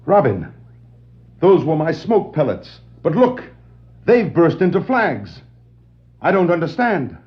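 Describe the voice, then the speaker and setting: An actor playing an earnest, commanding superhero detective with stagey, declarative delivery on a 1960s children's adventure record. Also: male voice, a little high, very animated, takes it slowly, long pauses. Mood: urgent. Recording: dull 1960s vinyl record dramatization.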